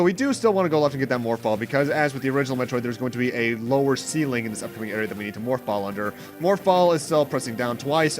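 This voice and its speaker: Fast-talking, animated man over game music. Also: a little high. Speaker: gameplay host. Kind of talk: let's play commentary. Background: video game music.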